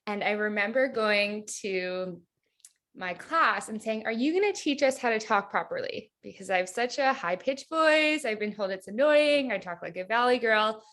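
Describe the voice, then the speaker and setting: A woman's voice: a little high, lively intonation, moderate pace, a bit bright. A woman in her thirties, a hypnotherapist guest on a podcast, talking in a chatty, reflective way with many fillers like "like". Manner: chatty, reflective, heavy use of "like". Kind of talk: casual podcast interview.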